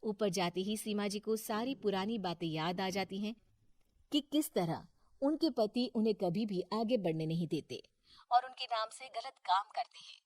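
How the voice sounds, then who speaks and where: A woman narrates a scripted cartoon moral story. Her pitch is average for a woman, and the recording is dry and close, with no room around it. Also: expressive, normal speed, darkish tone, no echo.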